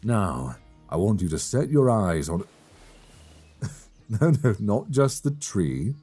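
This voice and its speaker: Man as a male elf hunter, breathy, very lively intonation, good-humored, gently teasing, ASMR fantasy roleplay, music behind. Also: on the low side, slow, full character voice. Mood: patient coaching. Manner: playful, gently instructing, chuckling often. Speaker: voice actor in audio roleplay. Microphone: close binaural microphone.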